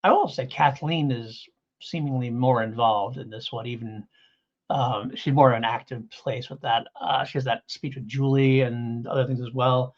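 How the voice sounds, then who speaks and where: A man's voice: medium pitch, fairly even intonation, normal speed, muffled recording. A man co-hosting a casual review chat, rambling and enthusiastic as he restates his points. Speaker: podcast co-host reviewing audio dramas.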